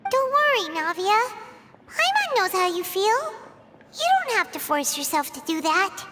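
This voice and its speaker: A woman voicing a tiny, childlike fairy companion in a video game, squeaky, excitable and blunt, speaking of herself in the third person. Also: a little slow, in a large hall, heavy background noise, full character voice. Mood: earnest, caring. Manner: refers to self in third person, exclamatory. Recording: loud music behind.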